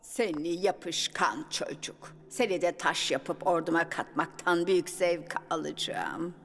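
Low-voiced imperious cartoon villainess. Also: female voice, lively intonation, measured pace, a little hiss or hum. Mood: gloating menace. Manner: commanding, gloating. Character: menacing, imperious stone-skinned villainess. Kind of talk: animated series villain acting. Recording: loud music behind.

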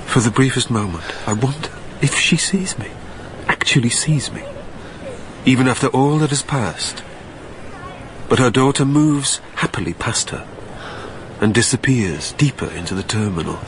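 A man's voice: medium pitch, normal speed, slight background noise, close to an everyday voice, rough recording. A man playing a wistful, haunted dead man, narrating a radio drama in a literary, brooding way. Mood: yearning. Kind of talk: dramatic first-person scripted narration.

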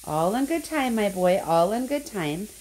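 A woman voicing an excitable old grandfather from a storybook, sly and nervous, speaking in a conspiratorial way. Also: medium pitch, normal speed, lightly acted. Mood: nervous, hopeful. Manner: hushed, conspiratorial, spluttering when excited.

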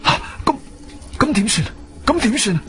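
An actor with a Cantonese accent playing a hot-tempered, freshly blinded adventurer hero in a radio drama, agitated, emphatic and exclamatory. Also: male voice, high voice, big pitch swings, normal speed, a bit bright. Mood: panicked. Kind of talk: radio drama acting with first-person narration. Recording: background music under the voice.

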